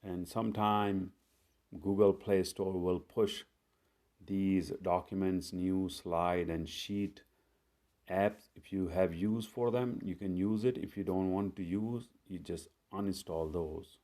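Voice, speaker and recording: Slow, low-voiced man walking through phone steps. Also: fairly even intonation, mellow. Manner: step-by-step, unhurried, plain instructions with fillers. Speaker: tech tutorial host. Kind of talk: screen-recorded phone tutorial.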